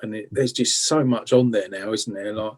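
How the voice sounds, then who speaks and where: A middle-aged man with an English accent chatting casually as an interview guest, reminiscing in an informal, halting, self-effacing way. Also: on the low side, fairly even intonation, a little mellow, breathy edge. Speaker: interview guest, blues rock guitarist.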